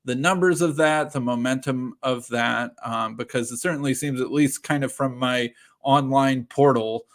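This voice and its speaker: Male podcast host, mid-pitched, informal and conversational in his questions. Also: moderate pace, few pauses. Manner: relaxed, informal, slightly rambling questions. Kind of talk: casual interview questions.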